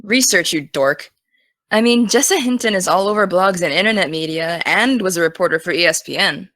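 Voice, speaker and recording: A young American woman playing an excitable, teasing teenage aspiring journalist in naturalistic, casual audio drama dialogue. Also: lowish voice, brisk, dry recording, clean studio sound, close to an everyday voice. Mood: upbeat, teasing.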